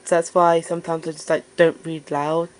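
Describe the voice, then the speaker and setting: Woman, very low deep voice, nervous casual host introducing her story channel, lots of fillers. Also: level tone, moderate pace, slight background noise. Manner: nervous, hesitant, many fillers. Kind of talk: casual self-introduction to camera.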